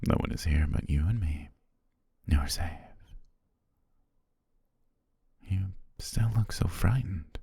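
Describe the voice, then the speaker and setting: A man playing a possessive shapeshifting naga who poses as a devoted servant, speaking gently and formally in an intimate ASMR roleplay, husky, breathy and often whispering. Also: deep voice, no room sound, clean studio sound, exaggerated voice. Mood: soothing, deferential. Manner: slow, gentle, formal, coaxing.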